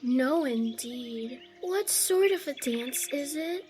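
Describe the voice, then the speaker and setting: A woman's voice: sits high, animated, slow pace, a little mellow, soft background music. A woman playing a curious, polite little girl in an audiobook drama, speaking carefully. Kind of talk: scripted audiobook character acting.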